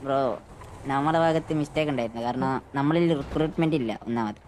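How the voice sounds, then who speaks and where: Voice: mid-range voice, fast, darkish tone, slight background noise. A gamer negotiating in improvised roleplay, repeating and restarting points. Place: online game voice chat.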